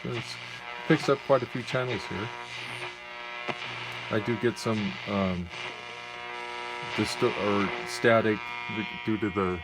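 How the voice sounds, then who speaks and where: A man with a low-pitched voice talks casually through a hands-on demonstration. He is plain-spoken and a little halting, feeling his way as he goes. Clear noise sits behind him, as in an amateur home video. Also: fairly even intonation. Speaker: radio collector giving a demonstration. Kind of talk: casual unscripted product demonstration.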